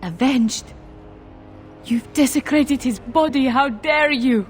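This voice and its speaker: An actress playing an emotional, bereaved wife in a fantasy video game, with music underneath. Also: female voice, sits high, takes it slowly. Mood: outraged. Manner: emotional, aghast, pleading. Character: distraught, outraged young widow confronting her husband's possessed body.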